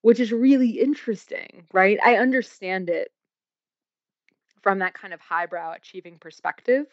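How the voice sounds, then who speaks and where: A woman's voice: medium pitch, normal speed, no room sound, dull audio. A woman who works as a coach and energy healer, speaking thoughtfully in an interview with frequent fillers. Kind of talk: reflective interview talk.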